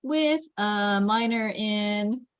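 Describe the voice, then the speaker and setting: A woman's voice: medium pitch, extremely slow, dry recording. A woman voicing a sarcastic young male office worker in a table read, with dry, joking delivery. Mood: deadpan joking.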